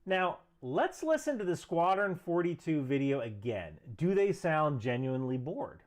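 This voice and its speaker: A man's voice: on the high side, big pitch swings, normal speed, slight background noise. A man presenting a scripted explainer commentary with emphatic, structured delivery. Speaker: video presenter.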